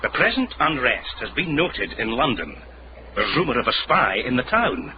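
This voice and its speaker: An actor playing an imperious, threatening older lord provost in a radio drama, curt and pompous. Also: male voice, mid-range voice, sing-song, moderate pace, muffled recording, background noise, lightly acted. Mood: commanding.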